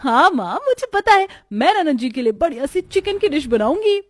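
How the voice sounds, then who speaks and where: Woman doing a bratty scheming young bahu in a cartoon, whiny and high. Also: sing-song, normal speed, no room sound. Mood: sweet. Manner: sneering, whiny. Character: spoiled scheming young daughter-in-law. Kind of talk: Hindi cartoon voice acting.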